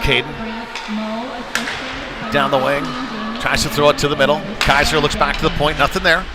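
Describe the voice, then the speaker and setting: A man's voice: fairly high pitch, level tone, normal speed, very noisy. A man doing live play-by-play hockey commentary as the announcer, dropping conversational asides between calls. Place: ice rink, live at the game. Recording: live rink broadcast with music behind. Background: music over venue speakers.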